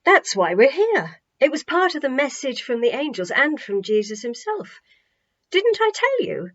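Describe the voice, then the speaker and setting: A woman voicing an excitable, devout woman disciple in a storybook, with a breathless, halting, gushing delivery. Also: high-pitched, voice pushed up, exaggerated voice. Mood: eager, assured. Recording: slightly dull home recording.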